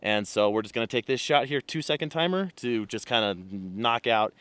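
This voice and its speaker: A man photographing a calm mountain lake at dawn, explaining his camera settings in a relaxed way with many fillers while sounding congested from a cold. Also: mid-range voice, moderate pace, clear, resonant tone, no room sound. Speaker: landscape photographer. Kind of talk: casual on-location vlog.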